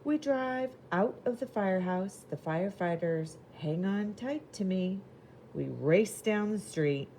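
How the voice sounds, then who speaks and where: Woman reading as a proud little fire engine, lively pitch. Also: a little slow, a little hiss or hum. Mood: proud, eager. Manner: expressive, simple storybook delivery. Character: proud, eager little red fire engine narrating its work. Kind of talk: children's storybook character narration.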